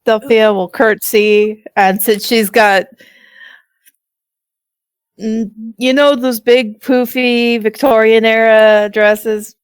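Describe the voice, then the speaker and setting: Smooth, slow-talking female player. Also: a little high, fairly even intonation, dry recording. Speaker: tabletop game player. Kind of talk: casual chat.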